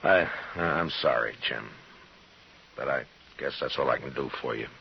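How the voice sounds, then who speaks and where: An actor playing a stern, steady American frontier marshal, laconic and authoritative, in old radio western style. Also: male voice, gravelly, a little hiss or hum, full character voice. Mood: grave, questioning. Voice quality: deep, authoritative. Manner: measured, understated. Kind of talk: 1950s radio western acting and narration. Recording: muffled vintage radio recording with music.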